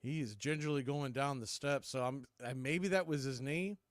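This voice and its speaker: A man's voice: medium pitch, normal speed, dry recording, studio quality. A man announcing baseball in a play-by-play commentary style.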